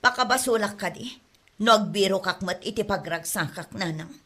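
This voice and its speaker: A woman's voice: medium pitch, normal speed, a bit bright, natural voice. A woman with an Ilocano accent playing a secretive, aggrieved mother in an audio drama. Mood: defensive. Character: Marta, secretive unfaithful mother, defensive, aggrieved. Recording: music behind the voice.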